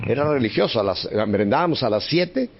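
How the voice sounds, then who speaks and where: A man's voice: medium pitch, lively intonation, quick pace, vocal fry. Chatty older Mexican man reminiscing. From Mexico City, Mexico. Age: 70s. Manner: chatty, warm reminiscing with frequent laughs. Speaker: podcast guest. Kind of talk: relaxed storytelling in conversation. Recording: slightly muffled podcast audio.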